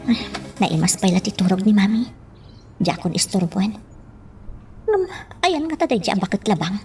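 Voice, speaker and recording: An actress playing an anxious, scolding daughter in an Ilocano radio drama. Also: female voice, medium pitch, very animated, moderate pace, darkish tone, far from the mic, faint music behind. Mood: annoyed muttering.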